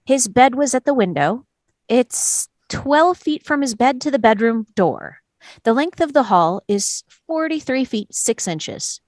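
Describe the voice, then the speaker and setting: A woman at a play table read, playing a calm, persistent juror who explains and tests evidence in a measured way. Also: a little high, no echo. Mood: methodical.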